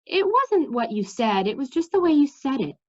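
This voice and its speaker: A woman's voice: sits high, sing-song, normal speed, pitched above the performer's own voice. A woman voicing a practical, determined young woman from a storybook in a matter-of-fact manner. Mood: amused. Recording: slightly dull, lo-fi sound.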